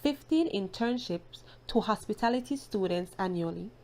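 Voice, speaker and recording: A woman's voice: medium pitch, lively intonation, moderate pace, a little mellow. A woman reading scripted news as a presenter in a formal, careful manner. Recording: faint ambient noise behind narration.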